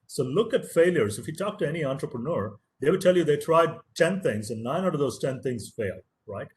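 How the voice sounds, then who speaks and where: A man's voice: a little high, animated, a little fast, a little mellow. A man whose first language is Tamil gives an earnest, motivational webinar lecture as a professor, stressing key words and repeating phrases for emphasis.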